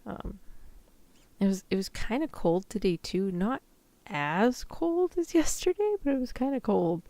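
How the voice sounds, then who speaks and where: A woman's voice: mid-range voice, very animated, measured pace, mellow, no room sound. A woman streamer chatting casually with her viewers, her throat a little sore. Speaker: VTuber streamer. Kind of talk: casual livestream chat.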